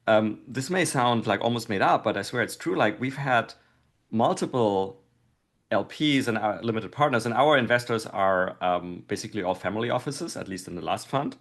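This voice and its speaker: A middle-aged man speaking casually and thoughtfully as an interview guest, often dropping "like" into his sentences. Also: fairly low pitch. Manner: thoughtful, hedging with "like". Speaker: podcast guest, investor.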